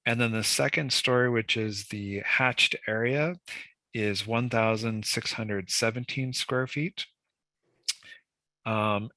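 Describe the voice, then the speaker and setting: A man, a senior city planner, presenting a staff report at a public meeting, congested from a cold and talking in a measured way with frequent ums. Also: on the low side, bright, forceful tone, no echo.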